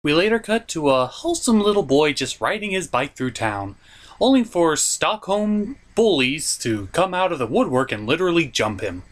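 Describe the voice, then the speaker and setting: A man's voice: a little high, very animated, moderate pace. A man recapping a film as a comedic review host, with incredulous, exaggerated asides. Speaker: host of a movie review puppet show.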